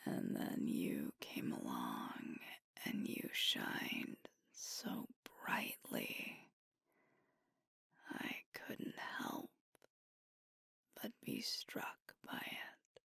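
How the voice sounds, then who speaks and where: A woman playing a sweet, devoted werewolf girlfriend in an ASMR roleplay, speaking in a hushed, breathy, tender voice close to the microphone. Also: extremely low pitch, huge pitch swings, no room sound, heavily acted. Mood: tender, wistful. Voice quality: breathy, whispery. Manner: slow, tender, hushed delivery with pauses. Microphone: close microphone, dry.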